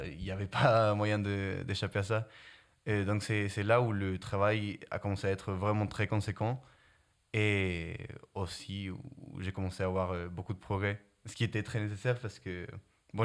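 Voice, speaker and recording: A young man from Guatemala with a light Spanish accent, answering interview questions in a halting way, often restarting and searching for words. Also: lowish voice. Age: young adult. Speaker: prep-school student.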